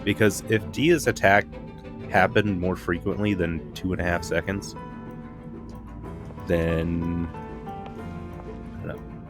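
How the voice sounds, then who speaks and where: A man's voice: low-pitched, fairly even intonation, takes it slowly, pauses often, music in the background. A man streaming a game, thinking aloud in casual, rambling commentary. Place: live stream with game audio behind.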